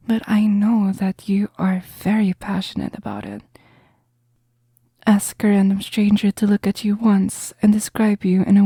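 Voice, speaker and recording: Breathy, slow-talking caring female professor, acted by a woman. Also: mid-range voice, dry recording, studio quality. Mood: hushed sympathy. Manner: gentle, reassuring, unhurried. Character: caring, understanding female math professor counselling a student. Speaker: roleplay audio performer. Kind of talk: soft-spoken roleplay audio, whispery.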